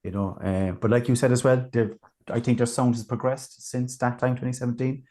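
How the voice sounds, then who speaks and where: A man hosting a casual music review chat, talking in a relaxed, chatty way with plenty of fillers. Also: medium pitch, fairly quick. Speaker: podcast host.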